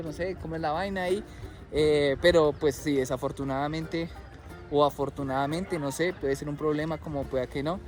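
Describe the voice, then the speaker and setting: A man's voice: a little high, darkish tone. A young man with a Colombian accent, talking casually to camera in a rambling, chatty way that keeps correcting itself, recorded outdoors in a city. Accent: Colombian Spanish. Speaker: vlogger.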